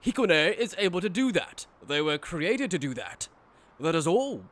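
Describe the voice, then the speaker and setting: A man's voice: a little high, big pitch swings, normal speed, a bit bright. A man playing a cruel, mocking aristocratic villain in an anime fan dub, with pompous, condescending, theatrical delivery. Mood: coldly disdainful. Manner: pompous, theatrical, sneering formal speech.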